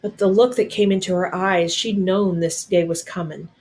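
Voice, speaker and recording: Expressive woman reading a storybook aloud. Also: fairly low pitch, moderate pace, a little hiss or hum.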